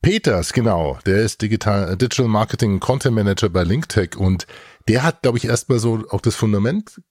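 Man, podcast co-host, lowish voice, casual chat, joking and teasing, dry sound. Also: lively intonation, a little fast, a little mellow, no room sound.

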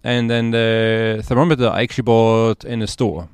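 A man from Austria in his forties, a podcast guest and entrepreneur, talking in a relaxed, hesitant way with many fillers. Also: on the low side, normal speed, smooth, no room sound, studio quality. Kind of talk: casual interview conversation.

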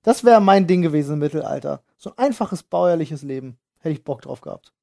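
A man's voice: a little high, expressive, a little fast, vocal fry, mellow. A man chatting casually and jokingly as a podcast co-host.

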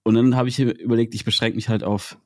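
A man's voice: medium pitch, fairly even intonation, fast, darkish tone, no room sound, studio quality. A man with a cold, co-hosting a casual podcast chat in an easygoing, teasing way. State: has a cold. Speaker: podcast co-host.

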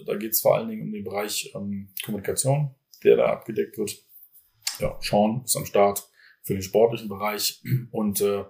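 A man's voice: lowish voice, gravelly. A man from Bavaria, a football team's general manager, talking casually in a podcast and rambling with many fillers and restarts.

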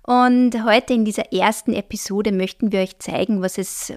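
A slightly hoarse woman speaks into a podcast microphone in a studio. She co-hosts in a measured, friendly manner at a mid pitch. She fills her pauses with small hesitations. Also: steady tone. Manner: measured, friendly, uses fillers. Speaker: podcast co-host. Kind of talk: casual podcast chat.

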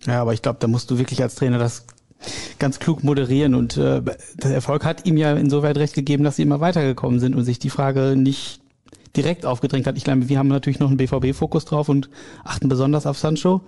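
A man, a sports journalist, chatting about football on a podcast in a quick, hedging way. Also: medium pitch, steady tone, creaky, dark tone. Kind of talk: casual football podcast chat.

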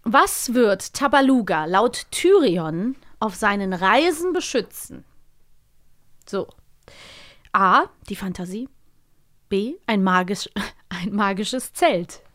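A woman's voice: mid-range voice, very animated, slow, long pauses, fairly bright tone. A young woman co-hosting a podcast, chatting casually with teasing, giggly back-and-forth. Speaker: podcast host. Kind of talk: casual chat.

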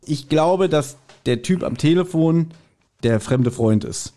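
Guy podcast host, slightly high voice, casually recapping and reviewing stuff. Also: lively intonation, slow, warm tone. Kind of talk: casual chat, retelling and reviewing an audio drama.

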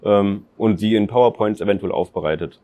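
Low-voiced man, matter-of-fact. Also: brisk, warm tone, no room sound. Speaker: data office manager guest. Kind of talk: casual interview chat.

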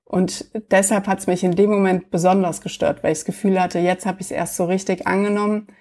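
A woman telling her own story as an interview guest, reflective and hesitant, with many pauses and fillers. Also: on the low side, steady tone, a little mellow.

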